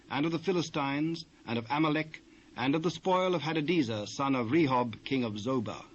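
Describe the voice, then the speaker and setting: A man with a British accent narrating scripture in a formal, measured way. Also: mid-range voice. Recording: dull, faintly noisy old cassette transfer.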